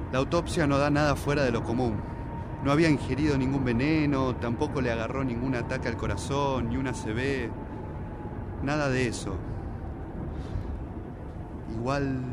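A man's voice: medium pitch, close to an everyday voice. A man with an Argentine accent playing a grieving, suspicious son in a radio drama, speaking insistently as he thinks aloud. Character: grieving, suspicious son obsessively investigating his father's death. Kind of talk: Spanish-language radio drama acting. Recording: clear street and traffic noise.

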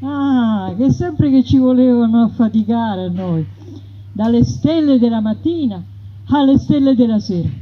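A woman narrator voicing a weary, defiant peasant brigand woman who protests quickly and loudly in a staged narration. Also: a little high, lively intonation. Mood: protesting. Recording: dull lo-fi radio broadcast.

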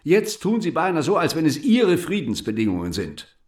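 An actor playing a bitter, patriotic French writer and deputy in a radio play, eloquent, rhetorical and ironic. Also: male voice, on the high side, wildly swooping pitch, moderate pace, darkish tone. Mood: bitter irony.

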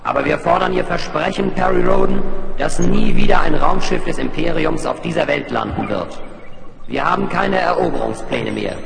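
Hallendes, knarrendes fremdes Kollektivwesen. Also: male voice, on the high side, lively intonation, creaky, a bit bright, full character voice. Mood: stern, demanding. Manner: solemn, formal, measured pronouncements. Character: stern collective alien mind speaking through a possessed man. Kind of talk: 1970s German science fiction radio drama acting. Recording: echoing long reverb, faint noise.

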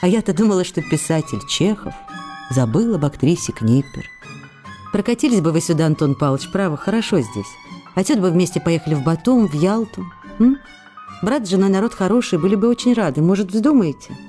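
A woman's voice: lowish voice, animated, brisk, mellow, close to an everyday voice. An actress playing an affectionate, impulsive stage actress who reads her letters in an intimate, chatty, coy way for a radio play. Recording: radio drama with faint music behind.

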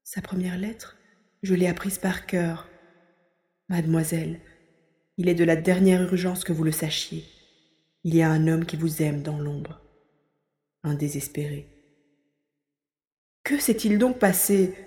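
A woman's voice: on the low side, steady tone, measured pace, pauses often, mellow. A woman playing a romantic, well-born young provincial lady in an audio drama, with exalted, formal diction. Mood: lovelorn, anxious. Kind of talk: audio drama monologue and dialogue. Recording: roomy echo, faint background noise.

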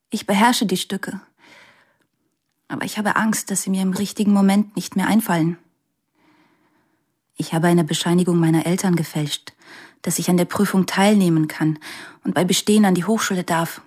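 Woman as a husky, anxious teenage music student. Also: medium pitch, natural voice. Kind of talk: radio drama monologue.

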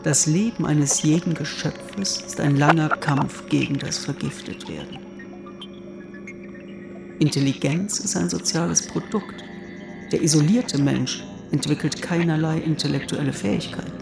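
Cerebral scientist, radio drama, higher gravelly voice, declarative, loud music behind. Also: male voice, unhurried. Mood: coolly declarative. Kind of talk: scripted radio drama monologue. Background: loud music under the voice.

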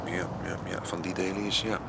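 An actor in a radio play voicing a polite, reflective young male astronomer who speaks in a halting, understated way. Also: low voice, flat delivery, gravelly, noisy background. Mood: sombre, subdued.

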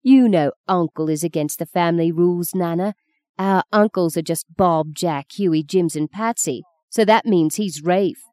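Audiobook little girl, played by a woman: rude, commanding, outspoken, with a smooth clear voice. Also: on the low side, normal speed, darkish tone, no room sound, close to an everyday voice. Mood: indignant. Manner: forthright, ordering. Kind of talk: audiobook character reading.

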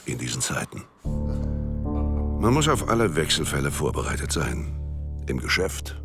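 A man's voice: rumbling low, big pitch swings, measured pace, exaggerated voice. An actor playing a cynical, worldly male narrator in a radio drama, speaking in a sardonic, knowing way. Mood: brooding. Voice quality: gravelly, sardonic. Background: music.

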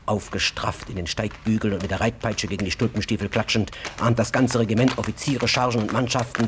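A man narrating a literary radio drama with tense, deliberate phrasing. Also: fairly low pitch, noisy background.

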